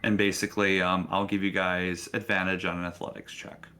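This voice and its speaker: Bright-voiced man running a tabletop game. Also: low voice, level tone, brisk, smooth, faint music behind. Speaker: game master. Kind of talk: improvised tabletop game narration, casual.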